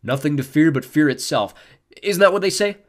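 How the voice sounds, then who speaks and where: A man's voice: a little high, lively intonation, fairly quick, pitched above the performer's own voice, close to an everyday voice. A man voicing a sneering teenage god of fear who taunts and mocks. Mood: taunting, smug. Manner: taunting, mocking, smug. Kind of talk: audiobook character voice.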